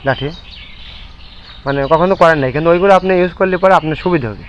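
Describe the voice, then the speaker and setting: A Bangladeshi man presenting an informal charity appeal and interview on location, speaking in a halting, repetitive way. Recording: muffled low-fi audio, background noise, bleating animals.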